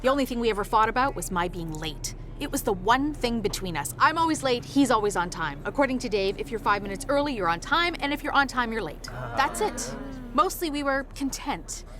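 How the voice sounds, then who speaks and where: A woman's voice: a little high, expressive, brisk, fairly bright tone, a little hiss or hum. A woman playing a feisty, frank passenger telling her stories in a salty, colloquial way in a radio play. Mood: frank, confiding. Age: 30s or older. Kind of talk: radio play, conversational storytelling.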